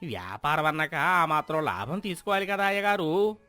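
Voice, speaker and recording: A man's voice: a little high, expressive, normal speed, sharp tone, no room sound. A man voicing a deferential shop servant who explains himself to his master in a moral story.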